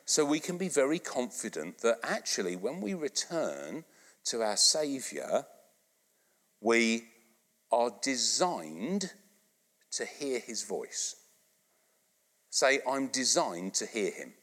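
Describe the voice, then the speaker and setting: A middle-aged English man preaches in a chatty, coaxing way, his pitch leaping high and low with every phrase. He takes his time over the words. The voice carries with a long reverb around the church hall. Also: slow pace, long pauses. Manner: animated, conversational, asks the congregation to repeat lines. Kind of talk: sermon. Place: church hall, live congregation.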